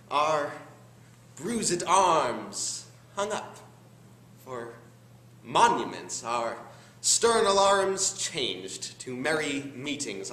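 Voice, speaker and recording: Give the stage a bitter, deformed duke plotting villainy, acted by a teenage young man in Shakespearean verse. The delivery is theatrical and deliberate, with wide swings of pitch and a sharp, forceful edge. It sounds recorded in an echoey classroom. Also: heavily acted. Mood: brooding, sardonic. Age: teens. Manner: theatrical, deliberate, dramatic pauses. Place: high school classroom. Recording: roomy classroom recording, faint background noise.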